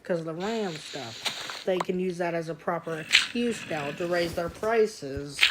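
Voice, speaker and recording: Young adult woman streamer, childish-sounding voice, slightly low, casual rambling, noisy home setup. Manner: rambling, lots of fillers, frequent throat clearing. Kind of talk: casual gaming stream chat.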